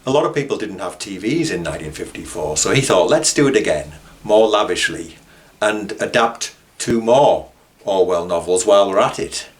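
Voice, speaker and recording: Low-pitched man presenting. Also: lively intonation, normal speed. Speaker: author and presenter. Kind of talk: informal documentary commentary.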